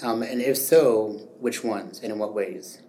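A young man hosting an educational interview podcast, reading prepared definitions and asking measured questions. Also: fairly low pitch, mellow, slight background noise. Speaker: podcast host. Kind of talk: semi-scripted educational interview.

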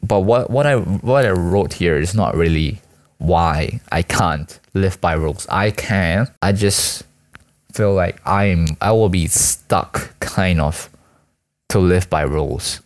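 Young man, student from Malaysia, low-pitched. Casual solo vlog ramble, halting and self-mocking, full of slang. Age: teens to young adult, school student. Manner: hesitant, self-deprecating, slangy. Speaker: student vlogger and aspiring actor.

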